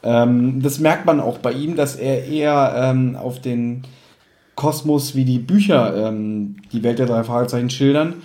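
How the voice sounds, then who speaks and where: A man's voice: medium pitch, measured pace, dark tone, echoey room. A man with a German accent hosting a casual podcast, explaining background information in an informative way.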